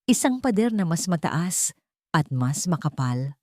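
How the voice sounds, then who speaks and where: A woman's voice: on the low side, very animated, unhurried, no echo, studio quality. A woman with a Filipino accent reading a scripted dramatic story as its narrator.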